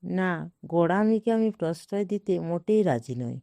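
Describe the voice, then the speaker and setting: A woman's voice: fairly low pitch, expressive, moderate pace, dark tone, dry recording. A woman playing a zealous, opinionated elder brother in a storybook, with a solemn, emphatic manner.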